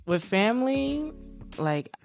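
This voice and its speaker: A woman's voice: on the high side, sing-song, slow, vocal fry, soft background music. A young woman guesting on a podcast, speaking bluntly and candidly in casual chat, cursing freely.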